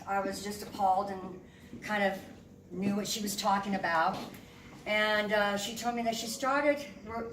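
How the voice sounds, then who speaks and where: A candid, animated woman in her fifties tells her own story from a stage, her voice sitting in a middle register. She sounds far from the mic, with a roomy echo and steady background noise. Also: mid-range voice. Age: 50s. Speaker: guest speaker. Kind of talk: personal testimony speech. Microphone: room mic at a distance. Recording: echoey hall from far off, noisy.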